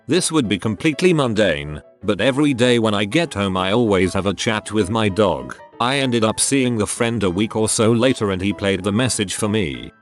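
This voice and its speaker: A man narrating a series of short online stories in a steady, scripted reading style. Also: fairly low pitch. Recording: faint music behind the voice.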